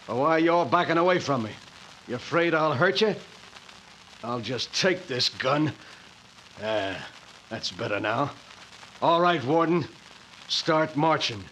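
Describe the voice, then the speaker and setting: A man's voice: on the high side, animated, unhurried, pauses often, pushed and bright, slight background noise, heavily acted, low-quality recording. A man playing a cocksure male convict who survives the electric chair, in a 1940s radio drama with taunting tough-guy talk. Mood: menacing.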